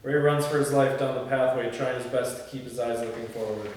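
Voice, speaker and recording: A man's voice: medium pitch, steady tone, a little fast. A man reading stage directions and chatting casually at a script table read, recorded indoors from a distance. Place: indoor room, reverberant, mic at a distance. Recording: echoey room from far off, faint noise.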